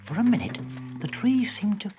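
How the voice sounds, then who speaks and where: Animated man narrating a children's story. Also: sits high, normal speed, over music. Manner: expressive, suspenseful, savouring the sound words.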